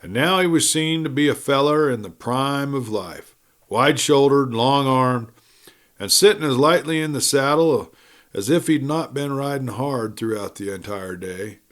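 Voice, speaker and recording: Male narrator, mid-pitched voice, folksy cowboy storytelling, rustic phrasing. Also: normal speed. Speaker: audiobook reader.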